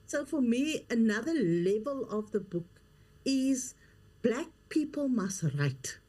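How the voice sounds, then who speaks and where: Female guest, middle-aged, slightly high register, earnest and emphatic. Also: big pitch swings, slow pace, mellow. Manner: earnest, emphatic, repeats key phrases. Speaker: guest, leadership coach and author. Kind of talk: interview conversation.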